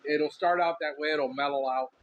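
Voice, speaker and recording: A man's voice: a little high, fairly even intonation, normal speed, phone-quality sound. A middle-aged man from Miami with a Cuban Miami accent, rambling candidly as a podcast host in a casual monologue. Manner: rambling, candid, lots of ums.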